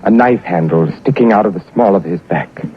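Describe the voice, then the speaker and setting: A man acting a wary, sharp-witted British intelligence agent in a theatrical 1940s radio drama style. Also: deep voice, brisk, a little hiss or hum, close to an everyday voice. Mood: grave, suspenseful. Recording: muffled old radio recording with music.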